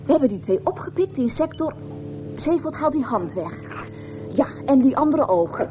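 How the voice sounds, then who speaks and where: High, lively, exasperated spacewoman. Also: normal speed. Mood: exasperated, firm. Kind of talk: radio play acting. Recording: dull old radio recording, faint music.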